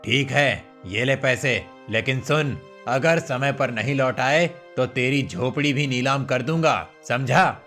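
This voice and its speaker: A man's voice: mid-range voice, takes it slowly, few pauses, bright, forceful tone, faint music behind. A man voicing a harsh, distrustful village landlord with a scornful, threatening manner in a cartoon story.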